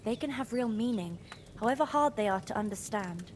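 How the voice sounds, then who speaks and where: A woman's voice: on the high side, lively intonation, a little fast, a little hiss or hum, lightly acted. An actress playing a kind, practical young village woman in a video game, with folksy, down-to-earth speech. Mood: caring. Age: young adult. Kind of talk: video game cutscene dialogue.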